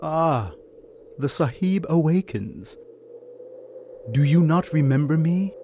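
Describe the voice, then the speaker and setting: A man playing a coldly courteous, gloating bandit chief in an audio drama, with florid, formal and measured speech. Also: a little high, animated, mellow, dull audio, a little hiss or hum. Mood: mocking delight. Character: gloating bandit chief, scarred former slave trader, coldly courteous villain.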